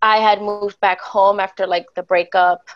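A woman's voice: mid-range voice, flat delivery, moderate pace, no echo. A young woman in her twenties tells her dating stories with candid, bubbly energy and breaks into laughter often. Her voice comes through a wired earbud mic on a video call from a small bedroom, and the sound is dry and slightly dull, with a lo-fi quality. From Dominican Republic, raised in the Bronx. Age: 20s. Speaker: podcast guest. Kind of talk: casual storytelling on a video call. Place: small home bedroom indoors.

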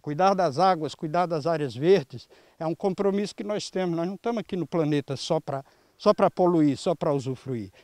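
Man in his sixties, Brazilian accent, earnest interviewee, masked, raised pitch, dry close sound. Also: normal speed, a little mellow, no echo. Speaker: environmental volunteer leader. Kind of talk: interview answers. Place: outdoors in a grassy clearing. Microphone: handheld reporter microphone.

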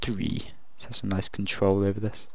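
A man casually commenting while he builds in a video game, thinking aloud and rambling, his voice croaky from the late hour. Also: lowish voice, completely flat delivery, normal speed, a little mellow, no room sound. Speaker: gaming video creator.

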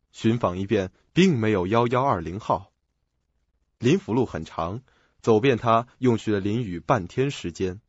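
A man narrates an audio drama in a fairly low, husky voice. The sound is dry but a little dull. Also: no room sound. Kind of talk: scripted radio drama narration. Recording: dull lo-fi audio, music behind.

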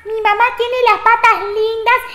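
A little kid goat from a children's storybook, with an extremely high, squeaky voice. It is excitable, wary and insistent, speaking like a small child in an echoing room. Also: female voice, a little slow, full character voice. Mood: wary, defiant. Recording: roomy, echoing sound.

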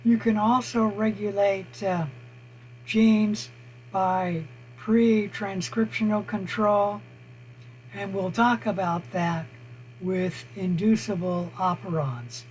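Hoarse man teaching online, slow and halting with lots of "uh" pauses, fairly high voice, faint hum behind. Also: fairly even intonation, a little mellow, rough recording. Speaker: college biology instructor. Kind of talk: online lecture over screen share. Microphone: computer mic on a video call.

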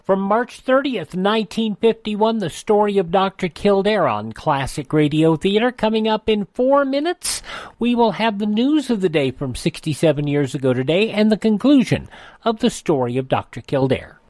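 Lady radio host doing scripted intros and ad reads, medium pitch, super dry studio sound. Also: lively intonation, moderate pace, a little mellow, no echo. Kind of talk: scripted radio hosting and ad read.

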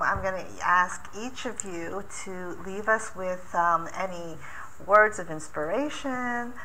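Slow, gravelly-voiced woman moderator. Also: on the low side, bright, forceful tone, a little hiss or hum, rough recording. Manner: slow, measured, with many ums. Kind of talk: hosting a live panel Q&A. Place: conference room with a backdrop.

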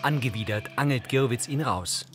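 A man reads scripted scene descriptions for a TV drama. His medium-pitched delivery is measured and matter-of-fact, with clear music underneath. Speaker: audio description narrator.

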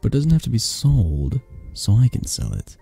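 A man playing a possessive, flirtatious mafia boss in a romantic audio roleplay, husky and gravelly, speaking with intimate condescension and long pauses. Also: fairly low pitch, wildly swooping pitch, warm tone, heavily acted. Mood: smooth, businesslike. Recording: music behind the voice. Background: background music.

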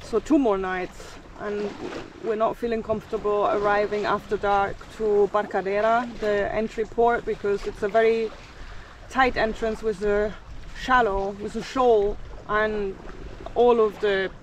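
A woman's voice: medium pitch, little pitch movement, measured pace, distant mic, poor audio. A woman in her forties recounting a sailing passage to camera in a chatty, matter-of-fact way, outdoors on a boat at sea. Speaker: sailing vlogger. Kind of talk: casual vlog narration to camera. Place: outdoors on a sailboat deck at sea. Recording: wind and water noise behind.

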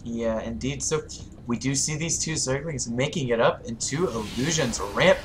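A man casting a strategy game match live, a little hoarse from losing his voice, calling plays with rising excitement. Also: mid-range voice, moderate pace, a little hiss or hum, rough recording. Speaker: esports caster.

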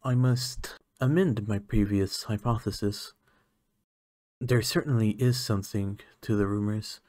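Guy doing an asmr alien scientist, unhurried and soft, formal but a bit hesitant, very dry close sound. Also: lowish voice, no room sound, lightly acted. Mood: intrigued, flustered. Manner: measured, hesitant, formal clinical phrasing. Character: male alien scientist, clinical, curious, easily flustered. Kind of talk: ASMR roleplay, soft-spoken.

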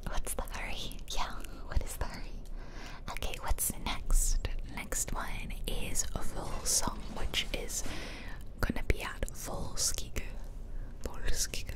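A woman whispering casual, musing ASMR commentary close to the microphone as she plays a game. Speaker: game streamer. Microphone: close microphone, ear-to-ear whispering.

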